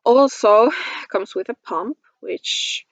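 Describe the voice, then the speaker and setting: Slow-paced woman vlogger, gushing and casual. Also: fairly high pitch, no echo, rough recording. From Finland. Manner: gushing, giggly. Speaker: beauty vlogger. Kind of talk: casual product review chat to camera.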